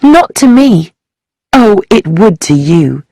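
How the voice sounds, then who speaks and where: A woman voicing a bitter, defiant young woman in a storybook, pitching up for her scornful, passionate outbursts. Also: sing-song, slow pace, mellow, dry recording, studio quality, full character voice.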